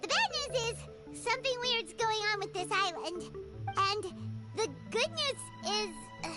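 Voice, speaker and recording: A woman voicing a small, excitable, anxious fairy companion in a video game, childlike and chatty. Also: very high voice, unhurried, over music. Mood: urgent. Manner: exclaiming, speaks of self in third person.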